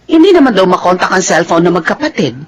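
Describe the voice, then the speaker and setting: An actress playing an affectionate, chatty Filipino wife in a radio drama. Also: female voice, lowish voice, big pitch swings, quick pace, slight background noise. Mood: casual, concerned.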